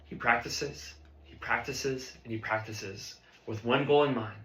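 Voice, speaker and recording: A ruthless, foul-mouthed male band conductor played by a man in a film drama scene. His voice is forceful and cutting, built for interrogation and abuse. The middle-pitched delivery rings in an echoey room. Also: measured pace, close to an everyday voice. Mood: intense, reflective. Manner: barking, profane, interrogating. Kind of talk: dramatic monologue performance of a film script.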